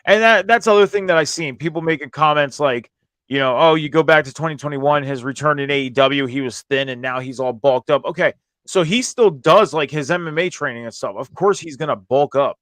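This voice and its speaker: A man with a hoarse voice, strained from screaming at a concert, hosting a casual, informal review and commentary show about wrestling. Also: a little high, fairly quick, a bit bright, no echo.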